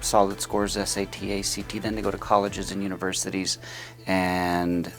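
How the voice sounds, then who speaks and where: A man's voice: low-pitched, completely flat delivery, moderate pace, faint music behind. A man interviewing a former student, asking long questions with many hesitant fillers.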